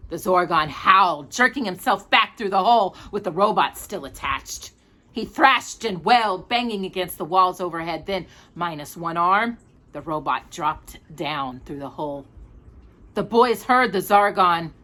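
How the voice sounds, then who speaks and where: A woman hosts a storytime, reading a picture book aloud. Her voice is bright and forward, at a middling pitch, and she narrates expressively for a young listener.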